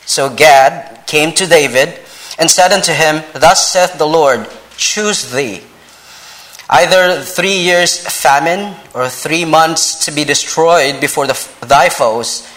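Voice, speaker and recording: Male church speaker, thirties, Filipino accent, non-native English. Unhurried, halting delivery with a sharp, bright tone. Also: on the high side, rough recording. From Philippines. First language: a Philippine language. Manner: halting, frequent pauses and repeated words, polite. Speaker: visiting preacher, Bible college vice president. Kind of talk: church sermon introduction and scripture reading.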